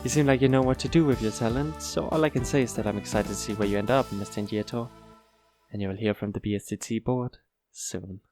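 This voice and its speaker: An actor playing a courteous, lightly teasing school interviewer in an audio drama, formal and measured. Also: male voice, medium pitch, music in the background, natural voice. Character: courteous, teasing male school interviewer, former talent scout.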